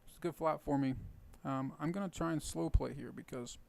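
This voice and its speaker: A man with a tired, hoarse voice and some gravel in it talks through his poker hands. He explains his strategy casually, thinking aloud with plenty of fillers. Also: fairly high pitch, brisk. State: hoarse, rough voice. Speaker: poker video host. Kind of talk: casual poker commentary over gameplay.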